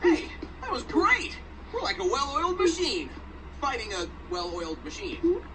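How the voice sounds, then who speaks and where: A man voicing a cocky, upbeat young hedgehog hero in an animated game, with a breezy, teasing manner. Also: very high-pitched, moderate pace, background noise, heavily acted. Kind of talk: animated video game cutscene acting. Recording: loud music, slightly distant.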